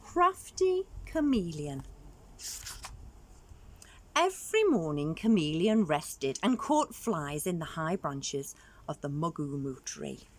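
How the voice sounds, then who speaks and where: A woman's voice: low-pitched, very animated, takes it slowly, a little mellow. A woman reading a story aloud to young children expressively, adding questioning asides for the listeners.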